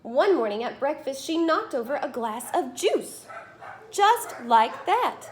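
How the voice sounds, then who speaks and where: A woman teacher reading a picture book aloud to children, with expressive, emphatic storytelling and drawn-out phrases. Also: high-pitched.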